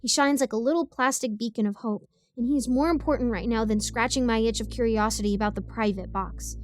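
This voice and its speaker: A woman voicing an excitable, defiant ten-year-old girl who tells her own story with rambling, indignant asides. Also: fairly high pitch, a little fast, slight background noise, natural voice. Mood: curious, grumbling. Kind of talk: first-person scripted story narration.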